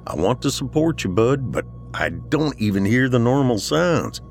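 A man's voice: mid-range voice, big pitch swings, normal speed, faint music behind, voice pushed up. A man playing a worried, loyal friend in a horror story, earnest and colloquial, easily exasperated. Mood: concerned. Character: worried, loyal male friend, rattled but practical. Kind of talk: audiobook character dialogue, horror story.

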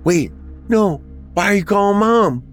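A man's voice: high voice, expressive, measured pace, faint music behind, voice pushed up. A man voicing a frightened young boy in a horror story, whining, gasping and pleading. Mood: panicked, crying. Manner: whining, gasping, crying pleas.

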